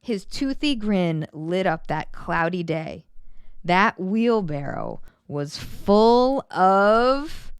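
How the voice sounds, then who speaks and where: A woman reading a children's story aloud in an animated, dramatic way, drawing out words for emphasis. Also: mid-range voice, drawn-out words, clean tone, no echo, clean studio sound. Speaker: children's story reader and host.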